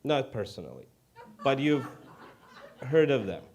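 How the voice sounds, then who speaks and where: A man from Ukraine with a Ukrainian accent preaches informally in English as a second language, halting and self-deprecating. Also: medium pitch, very animated, a little mellow. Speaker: guest preacher. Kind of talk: informal sermon.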